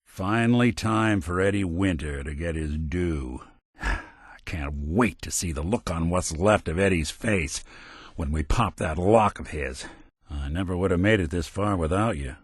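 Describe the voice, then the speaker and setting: A tired noir robot detective for a video game, lowish voice, dry studio sound, wry and understated. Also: male voice, measured pace, husky, no room sound. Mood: grimly eager, then sincere. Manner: wry, understated, old-fashioned hard-boiled phrasing. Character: world-weary male robot private detective, wry and loyal. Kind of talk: video game character voice lines, film noir detective acting.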